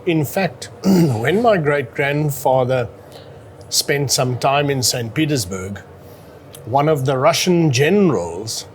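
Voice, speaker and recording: A man with an Afrikaans accent, a native Afrikaans speaker from South Africa, giving measured answers as an interview guest, pausing and clearing his throat. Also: medium pitch, expressive, slight background noise. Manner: deliberate, clears throat, pauses with uh.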